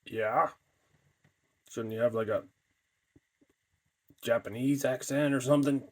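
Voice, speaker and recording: A man's voice: mid-range voice, steady tone, darkish tone, close to an everyday voice. A man doing a needling cyborg ghoul. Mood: teasing. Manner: questioning, teasing, halting restarts. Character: cyborg undead male mascot, curious and needling. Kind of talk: improvised toy skit.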